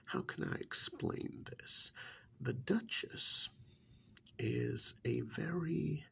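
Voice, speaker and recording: A man playing a smug, menacing agent of a vampire in a soft-spoken ASMR roleplay, with a breathy, gravelly half-whisper and deliberate, condescending delivery. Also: medium pitch, slight background noise, full character voice. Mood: patient, explaining. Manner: slow, deliberate, condescending, with pauses. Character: smug, menacing vampire's male agent interrogating a captured hunter.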